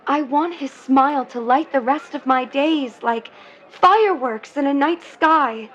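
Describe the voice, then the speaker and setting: High-pitched young sweetheart, earnest, poetic, 1950s audio drama, dull band-limited sound. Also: female voice, measured pace, a bit bright. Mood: pleading, romantic. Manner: dreamy, polite, poetic. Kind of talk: vintage-set audio drama acting.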